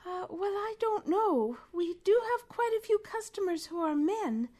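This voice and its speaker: A woman's voice: extremely high pitch, very animated, a little slow, mellow, full character voice. A woman playing a flirtatious, helpful knitting shop clerk in a spy spoof, coy and melodramatic.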